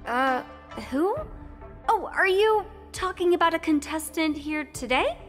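A woman's voice: very high voice, lively intonation, a little slow, a bit bright, exaggerated voice. A woman playing a polite, eager young journalist in a video game, with a formal presenter's manner. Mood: polite, apologetic. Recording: long reverb, music behind. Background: music.

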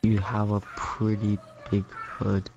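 A man's voice: fairly low pitch, monotone, slow pace, creaky, lo-fi sound, background noise. A man chatting casually as a fellow player in an online game.

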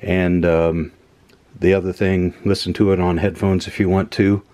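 A man casually demonstrating old equipment at a workbench, unhurried and thinking aloud with many fillers. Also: sits low, little pitch movement, warm tone. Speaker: electronics hobbyist host. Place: workbench room indoors.

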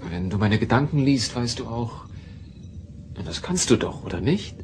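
An actor playing a modest, hesitant young doctor in a sci-fi audio drama, mild and tentative. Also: male voice, mid-range voice, animated, moderate pace, darkish tone, a little hiss or hum, lightly acted. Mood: mild, unsure.

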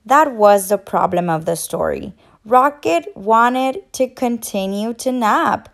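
A woman speaks to young children as a teacher and story reader. Her voice sits on the high side and her delivery is very slow. She explains and questions in an encouraging, instructional way. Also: lively intonation, gravelly. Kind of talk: children's read-aloud and quiz.

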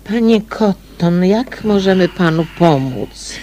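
A woman's voice: mid-range voice, expressive, slow pace, mellow, a little hiss or hum. A woman in a radio drama playing a kindly amateur sleuth, patiently coaxing and probing.

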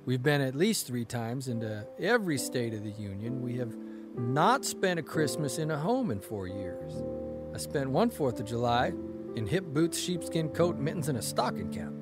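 Casting: male actor as wry travelling American columnist, animated delivery, slightly high voice, radio-play storytelling with music beneath. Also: normal speed, close to an everyday voice. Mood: dry, narrating. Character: wry, teasing, middle-aged travelling newspaper columnist husband. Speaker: actor playing a newspaper columnist. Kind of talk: audio drama dialogue and storytelling.

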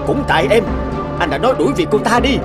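A man's voice: high voice, very animated, fast. A man voicing a stern, irritable middle-aged master of the house in a cartoon, full of indignant reproach. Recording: music under the voice.

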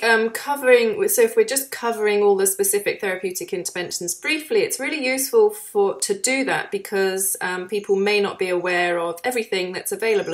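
A woman hosts an online course interview in a chatty, encouraging way. She sets up questions with frequent "um"s and friendly asides, and her voice sits at an ordinary pitch. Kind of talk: interview hosting.

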